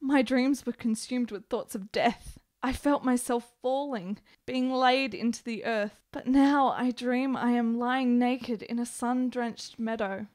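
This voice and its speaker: A very high-pitched, sickly woman played by an actress, hopelessly in love. She pleads in florid, melodramatic phrases, desperate and devoted, in the manner of a nineteenth-century period radio play. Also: fairly even intonation, unhurried, hardly any pauses, darkish tone, lightly acted. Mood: dreamy longing. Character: sickly, lovestruck, desperate woman in 1870s Italy. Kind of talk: period radio drama acting.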